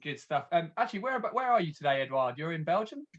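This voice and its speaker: A man's voice: fairly high pitch, very animated, fast, a bit bright. A man hosting a webinar, chatting loosely and in a friendly way with guests over a video call. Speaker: host. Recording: dull lo-fi video call audio.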